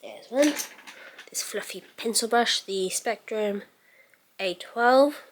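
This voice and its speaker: Slow-paced woman chatting through a makeup tutorial. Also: on the high side, a little hiss or hum. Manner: musing aloud while working, sentences trailing off. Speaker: beauty vlogger giving a makeup tutorial. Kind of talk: casual makeup tutorial chat.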